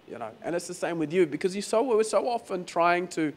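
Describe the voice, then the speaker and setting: A man preaching a sermon as pastor in a croaky, hoarse voice, talking conversationally and repeating phrases for emphasis. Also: high voice, expressive, brisk. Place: venue hall during a church service.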